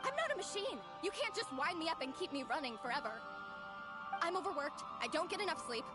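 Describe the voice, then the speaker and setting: A woman's voice: very high voice, big pitch swings, fairly quick. An actress playing an overworked young woman artist, exasperated and ranting at her boss. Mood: angry. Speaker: overworked employee. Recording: loud game music behind.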